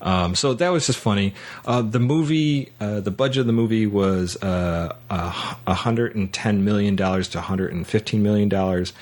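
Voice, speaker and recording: A man with a low voice hosts a casual podcast discussion. He speaks conversationally and haltingly, often restarting and correcting himself as he works through his points. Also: a little mellow. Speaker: podcast host. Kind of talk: casual film and book discussion.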